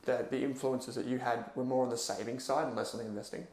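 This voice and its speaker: A man hosting a podcast interview, asking quick prompting questions and giving short encouraging replies. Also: mid-range voice, fairly even intonation, a little mellow. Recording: echoey room.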